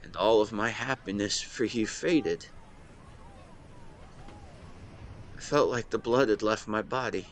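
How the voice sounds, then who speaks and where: A young man playing a lovestruck twenty-four-year-old confessing to his best friend, speaking earnestly and haltingly in an intimate romantic audio roleplay. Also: fairly low pitch, slight background noise, natural voice. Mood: pained, earnest. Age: young adult. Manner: earnest, tender, halting phrasing. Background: seaside boardwalk ambience effects.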